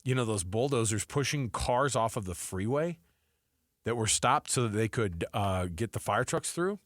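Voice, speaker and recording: A middle-aged American man co-hosting sports talk radio with casual, animated, colloquial banter. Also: medium pitch, moderate pace, no room sound, clean studio sound. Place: radio studio. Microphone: close studio microphone.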